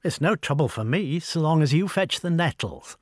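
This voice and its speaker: Proud older woman, upper-class english accent, high lilting voice, crisp dry sound, read by a man. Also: animated, dry recording. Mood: brisk teasing. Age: over forty. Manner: brisk, commanding, wry and talkative. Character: tall, proud older woman, brisk and teasing. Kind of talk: audiobook dialogue.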